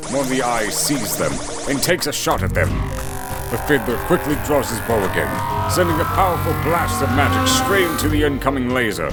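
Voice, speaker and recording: A man narrating a scripted fantasy audio drama in a dramatic storytelling style. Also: medium pitch. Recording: music under the voice. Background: music.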